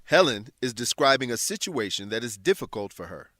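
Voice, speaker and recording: A man with a medium-pitched voice narrates an English lesson from a script. He is deliberate and instructional, wording things clearly. The sound is very dry, as in a studio. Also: very animated, pushed and bright, dry recording.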